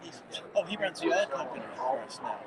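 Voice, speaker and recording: A man's voice: a little high, lively intonation, fairly quick, very noisy. An older man chatting casually with visitors at a conference booth, rambling through long anecdotes. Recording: loud crowd chatter behind.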